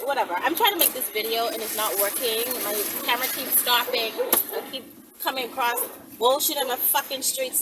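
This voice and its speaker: A woman chatting casually to viewers in a rambling vlog, with a brash, playful, foul-mouthed manner. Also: on the high side. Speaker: video creator. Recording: distant mic with clear background noise.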